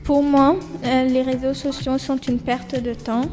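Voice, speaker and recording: A woman from Egypt speaks as a student guest on school radio, reading a prepared account carefully. Her voice sits a little high yet sounds mellow and dark, with a flat, even melody. Faint music plays behind her. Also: measured pace.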